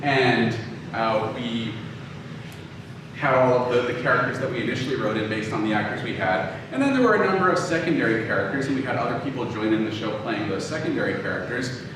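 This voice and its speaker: A man's voice: medium pitch, expressive, brisk. Man lecturing in a very echoey room. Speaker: lecturer, audio drama producer. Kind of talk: informal public lecture with audience questions. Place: indoor room talk before an audience. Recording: very echoey room, distant mic, noisy.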